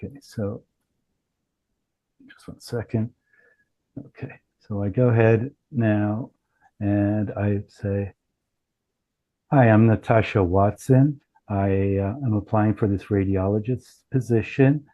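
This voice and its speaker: Low-voiced man, halting product demo. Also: fairly even intonation, dull audio. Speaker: company founder demonstrating software.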